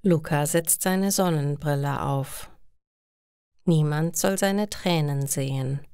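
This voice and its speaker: A woman narrating a scripted story for language learners in deliberate, clearly separated sentences. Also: low-pitched, a little mellow, no echo, studio quality.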